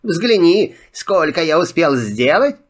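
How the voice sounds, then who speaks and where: A man voicing a sly, chatty little male rabbit trickster in a filmstrip audio drama, talking in a wheedling, flattering way. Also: on the high side, slow pace, full character voice. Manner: wheedling, flattering, sing-song.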